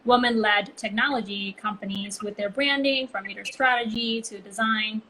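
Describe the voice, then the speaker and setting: A woman's voice: medium pitch, fairly even intonation, moderate pace, sharp tone, a little hiss or hum, rough recording. A woman, chatting as an interview guest and telling stories with many "like" and "um" fillers over a video call. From Bali, Indonesia. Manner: chatty storytelling, frequent "like" and "um". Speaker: guest, brand consultancy co-founder. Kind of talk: casual interview chat.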